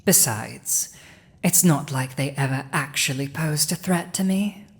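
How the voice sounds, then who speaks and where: A woman plays a cunning dragon who rules in human form, in an intimate fantasy audio drama. The voice sits somewhat low and moves very slowly, with deliberate pauses. The delivery is haughty, smug and commanding, addressed straight to the listener. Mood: commanding, silky.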